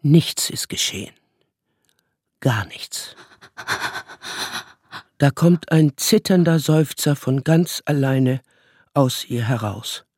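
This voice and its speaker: A man narrating a radio play as storyteller of a child's magical world, with measured, dramatic phrasing. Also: mid-range voice, a little mellow, breathy edge, no echo, clean studio sound. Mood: storytelling.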